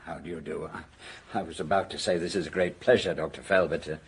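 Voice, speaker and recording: An actor playing a courteous, hesitant professor in a radio drama, halting and subdued, with a husky voice. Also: male voice, low voice, steady tone, exaggerated voice. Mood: awkward. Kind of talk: British radio drama acting.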